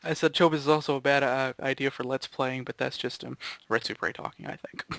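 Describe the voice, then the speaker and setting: A man's voice: medium pitch, steady tone, normal speed, gravelly, a bit bright, dry recording. A young man chatting casually and jokingly with his group, as a member of a gaming video crew. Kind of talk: rambling casual group chat.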